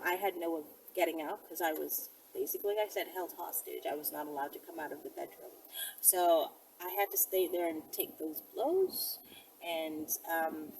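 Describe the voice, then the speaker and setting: Woman doing casual how-to talk to camera, slightly low voice, bit of background noise. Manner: conversational, addressing viewers directly. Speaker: self-defense video presenter. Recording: distant mic, faint background noise.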